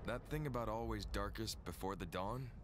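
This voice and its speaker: An actor playing a calm, menacing, calculating villain musing deliberately in a video game cutscene. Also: male voice, lowish voice, noisy background, lightly acted. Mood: brooding. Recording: loud score under speech.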